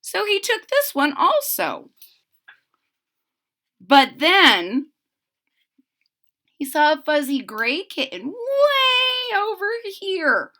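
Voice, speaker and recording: A woman's voice: sits high, pushed and bright. A woman reading a picture book aloud expressively, stretching words for emphasis and adding chatty asides for young listeners. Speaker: read-aloud storyteller.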